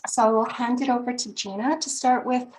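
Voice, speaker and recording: A woman, a professor and psychologist, opening a virtual presentation in a welcoming, measured way on a video call. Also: fairly high pitch, fairly even intonation, a little mellow.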